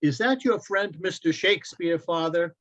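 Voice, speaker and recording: A man acts out an eager, curious little boy in a storybook reading, pitched up high and full of wonder. The recording is slightly dull and lo-fi. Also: moderate pace. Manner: bright, wondering, excitable. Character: eager, curious young boy stargazing with his father. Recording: dull, lo-fi online event audio.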